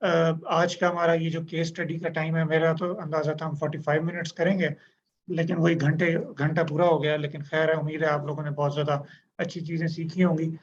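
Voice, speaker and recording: Chatty host with a flat voice. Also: male voice, fairly high pitch, fairly quick. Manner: chatty, agreeable, joking asides. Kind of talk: casual Urdu-English interview. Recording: dull lo-fi sound with faint noise.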